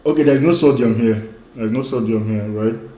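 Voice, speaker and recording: A man's voice: mid-range voice, brisk, warm tone, reverberant. A man who grew up speaking Igbo, giving an emphatic online lecture as a weight-loss coach. He repeats his key points and often says "you know".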